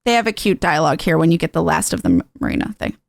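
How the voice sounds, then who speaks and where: A woman's voice: sits low, very fast, creaky, no room sound. Woman commentating on a video game. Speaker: gaming video host. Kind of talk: game commentary and dialogue read-aloud.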